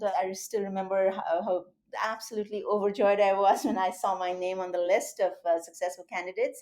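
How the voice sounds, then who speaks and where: Woman, Indian accent, middle-aged, surgeon, interview guest, measured, articulate, mid pitch. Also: a little mellow. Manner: measured, articulate, with occasional fillers. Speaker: surgeon and medical educator. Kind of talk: interview guest, recounting career.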